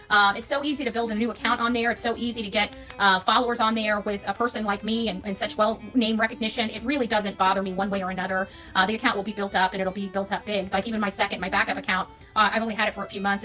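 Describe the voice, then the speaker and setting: A woman's voice: medium pitch, bright, forceful tone. A woman with an American accent giving an unscripted, opinionated monologue as an online creator, emphatic and repeating phrases for stress. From United States.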